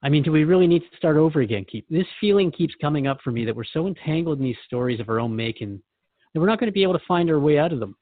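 A man's voice: a little high, animated, very fast, no echo, narrow, tinny audio. A man hosting a conversational radio talk show, asking questions in an earnest, reflective manner. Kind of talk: conversational interview with a spirit guide.

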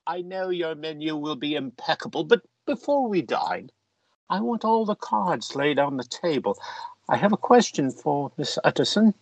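A man acting a jovial, lecherous Victorian member of Parliament in a radio play, pompous and theatrical with flirtatious, drawn-out phrasing. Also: fairly high pitch, expressive, no echo, poor audio. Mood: brisk, commanding.

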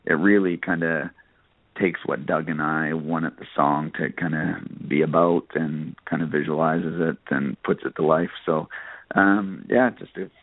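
A man giving casual interview answers as a country singer, halting and often saying "you know". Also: a bass voice, fairly even intonation, no room sound. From Nova Scotia, Canada. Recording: phone-like narrow radio line, dry.

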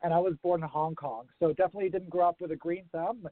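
A man's voice: on the high side, fairly even intonation, quick pace, no echo. A man with an American-sounding accent, a guest in a remote interview, explaining things thoughtfully with some fillers. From grew up around the world. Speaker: guest, urban farming consultant. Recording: tinny phone line.

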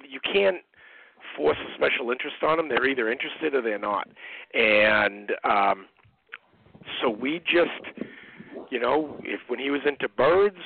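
Middle-aged American male guest, conversational storyteller, some fry in the voice, dry but thin phone-band audio. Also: medium pitch, no room sound. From United States. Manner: conversational, anecdotal. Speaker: guest, parent and speaker. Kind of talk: casual interview storytelling. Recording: narrow muffled phone-line radio sound.

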